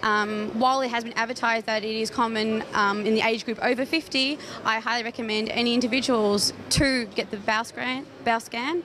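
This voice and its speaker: A woman's voice: fairly high pitch, steady tone, a little fast, few pauses, fairly bright tone, a little hiss or hum. A woman, a medical researcher giving explanatory answers in a news interview.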